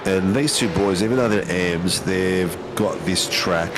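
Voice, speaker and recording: A man's voice: deep voice, unhurried, vocal fry, noisy background. An Australian man commentating a sim race in a casual, matey way, with plenty of ums and uhs.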